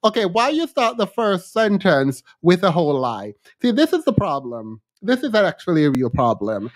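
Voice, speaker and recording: A man in his thirties hosting a casual livestream commentary, speaking in an animated, sassy and colloquial way into a close desk mic. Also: sits high, normal speed. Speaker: livestream host. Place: small indoor home studio. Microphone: close desk microphone.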